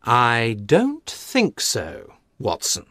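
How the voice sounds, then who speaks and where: Thoughtful gentleman sleuth, drily ironic, very lively pitch, unhurried, dry studio sound, storybook character. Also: no room sound, full character voice. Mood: certain. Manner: thoughtful, deliberate. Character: shrewd, courteous, drily ironic gentleman detective. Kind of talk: dramatised audiobook storytelling.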